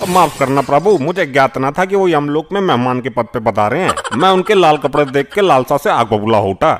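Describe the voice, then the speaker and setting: A man's voice: on the high side, lively intonation, fairly quick, slight background noise, close to an everyday voice. A man voicing a hot-tempered male buffalo who throws crude threats in a cartoon. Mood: sheepish. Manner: brash, threatening, crude insults.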